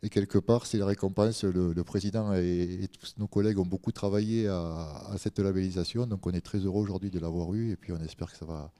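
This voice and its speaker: A man's voice: sits low, fairly even intonation, a little fast, few pauses, warm tone. A man giving spontaneous remarks as a local official on a panel, in hesitant phrases padded with small fillers.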